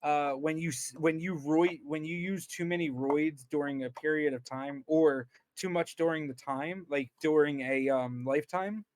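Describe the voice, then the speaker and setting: A man's voice: fairly high pitch, steady tone, normal speed, few pauses. A man co-hosting a podcast, reading aloud with casual commentary, stumbling over words and repeating himself as he goes. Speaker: podcast co-host.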